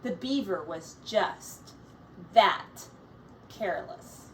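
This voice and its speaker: Woman, teacher reading a storybook, unhurried pace, expressive, explanatory asides, away from the mic. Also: mid-range voice. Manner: pausing to explain words and comment on pictures. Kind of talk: expressive children's picture-book read-aloud. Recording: distant mic with faint background noise.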